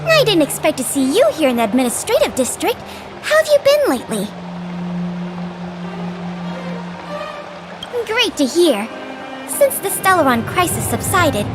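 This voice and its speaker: A woman's voice: very high-pitched, exaggerated voice. A woman voicing an earnest, friendly young tour guide in a video game, polite and eager to explain. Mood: cheerful. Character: earnest, friendly young woman guiding visitors around a museum. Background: faint background music.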